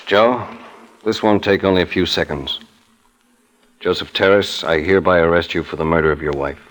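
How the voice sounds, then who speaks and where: A low-voiced, world-weary police detective in a 1940s radio drama. He talks in clipped, understated lines, like a terse cop. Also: normal speed, a bit bright. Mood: grave, firm.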